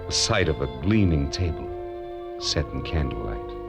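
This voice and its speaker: An American actor playing a wry, hard-boiled private detective, narrating deliberately and dramatically in a 1940s radio drama. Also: male voice, a bass voice, very animated, vocal fry, heavily acted. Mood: savoring, wry. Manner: dramatic, deliberate storytelling with pointed pauses. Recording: vintage 1940s radio recording with music.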